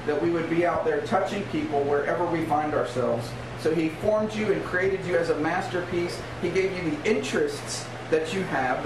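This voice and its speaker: A middle-aged American man preaching an informal sermon as a pastor, emphatic and conversational, checking in with rhetorical questions. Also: a little high. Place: small church room in a converted bar, indoors. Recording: roomy, distant mic, noisy background.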